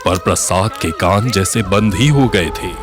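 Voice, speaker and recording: A man's voice: deep voice, unhurried, music in the background. A man narrating a scripted horror story.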